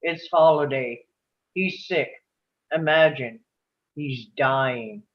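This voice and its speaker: A man's voice: mid-range voice, slow, close to an everyday voice. A man reading a stubborn, principled Old West rancher in a live table read, on a dull lo-fi microphone. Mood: grave.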